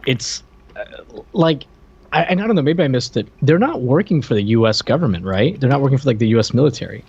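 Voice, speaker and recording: A man's voice: mid-range voice, expressive, fast, vocal fry, darkish tone, breathy edge, dull audio. A man co-hosting a podcast, chatting informally and thinking aloud in a hesitant way. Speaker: podcast co-host. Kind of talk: casual media review chat.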